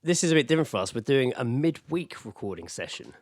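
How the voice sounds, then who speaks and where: A man's voice: mid-range voice, very animated, fast, darkish tone. A man, hosting a podcast in a rambling, sweary, casual comedic chat. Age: 30s. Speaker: podcast host.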